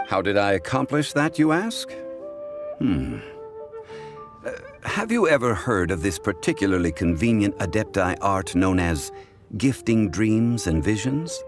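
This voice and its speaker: An actor playing a calm, dignified ancient god in human guise for a video game, explaining in a measured, formal, scholarly way. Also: male voice, low-pitched, heavily acted. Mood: wry.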